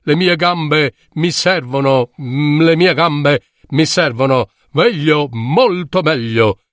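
A man's voice: on the high side, slow pace, fairly bright tone, no room sound, clean studio sound. A man voicing a cautious, stubborn fairy-tale king, regal and hesitant, repeating himself. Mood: dryly smug. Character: cautious, stubborn old-fashioned king who prefers walking.

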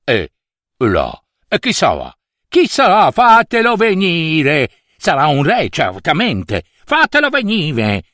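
A man's voice: mid-range voice, lively intonation, drawn-out words, a bit bright, no echo, clean studio sound, exaggerated voice. A man voicing a beleaguered, irascible king in a fairy tale, exclaiming and repeating himself dramatically. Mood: urgent, excited.

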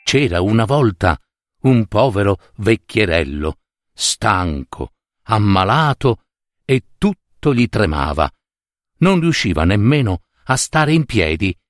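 A man telling a fairy tale as an expressive storyteller, with theatrical, deliberate phrasing and short pauses. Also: fairly low pitch, no room sound, studio quality. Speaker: storyteller and adapter.

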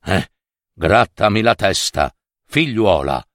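Guy doing a big gruff ogre dad from a fairy tale, slow and hungry, swooping up and down. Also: on the low side, lively intonation, fairly bright tone, dry recording, studio quality, pitched below the performer's own voice, exaggerated voice. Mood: grumbling. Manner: exclaiming, smacking relish, grumbling. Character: huge, hungry, gruff man-eating male ogre, a father.

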